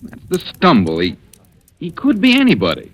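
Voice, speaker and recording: A man's voice: a little high, huge pitch swings, normal speed. An actor playing a glum, self-deprecating male homicide detective in a 1940s radio drama, with hard-boiled slangy talk. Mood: grim, explaining. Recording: noisy old radio recording.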